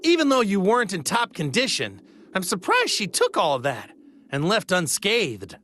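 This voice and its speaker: A man's voice: high-pitched, huge pitch swings, normal speed, sharp tone, a little hiss or hum, heavily acted. A man voicing an earnest, plain-spoken martial-arts hero in a video game, with a boyish manner. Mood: serious. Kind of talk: animated video game voice acting. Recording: music behind the voice.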